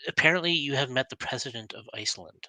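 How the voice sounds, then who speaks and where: A man's voice: medium pitch, fast talker, pushed and bright, no room sound, rough recording. A man hosting a live online talk show, hesitant and full of fillers, thanking his guest and viewers. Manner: hesitant, filler-heavy, friendly.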